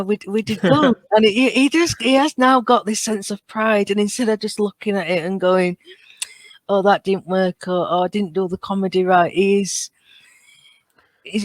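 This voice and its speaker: A woman's voice: medium pitch, no room sound. A woman chatting casually as a fan on a podcast, earnest and enthusiastic in how she talks. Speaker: podcast guest or co-host.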